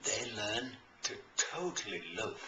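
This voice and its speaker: A British man speaking as a coach promoting his services in an informal, enthusiastic and emphatic talk with deliberate pauses, recorded in a roomy space. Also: lowish voice, animated. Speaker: life and business coach promoting own services. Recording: roomy echo, faint background noise.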